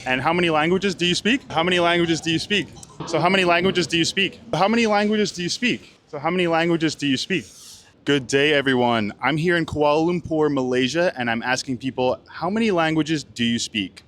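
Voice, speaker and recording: A man's voice: a little high, a little fast, a bit bright. A man hosting casual street interviews, asking short questions in a friendly way and repeating answers back. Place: public street in a city. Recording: noisy outdoor street.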